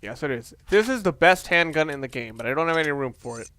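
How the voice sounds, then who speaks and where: A man's voice: fairly high pitch, expressive, fast talker, bright, forceful tone. A young man giving casual, rambling commentary as he plays a video game, often interrupting himself. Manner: rambling, self-interrupting, conversational. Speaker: let's play host. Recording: faint background noise, game sounds underneath. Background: video game sound.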